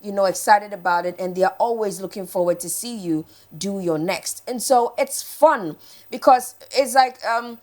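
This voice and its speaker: A woman's voice: mid-range voice, moderate pace, darkish tone. A woman with a Ghanaian accent in casual morning show chat, repeating phrases for emphasis and slipping into Twi.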